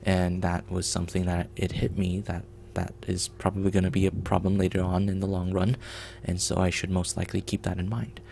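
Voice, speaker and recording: A man's voice: deep voice, completely flat delivery, brisk, creaky, hardly any pauses, darkish tone, slight background noise. A man hosting a programming livestream, explaining in a rambling casual way with many ums and restarts.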